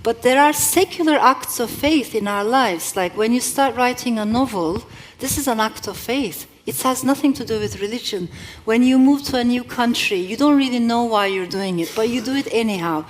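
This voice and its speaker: A middle-aged woman author whose first language is not English, speaking thoughtfully at a live event and reading from her novel. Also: fairly high pitch. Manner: reflective, with measured pauses and occasional restarts. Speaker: author and guest.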